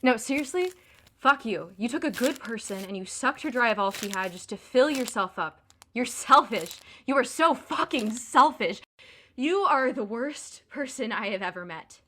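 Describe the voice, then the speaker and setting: A woman's voice: on the high side, lively intonation, moderate pace, sharp tone, a little hiss or hum. A woman acting in an audio drama as a hurt, furious young girlfriend, sarcastic and biting as she confronts her cheating boyfriend.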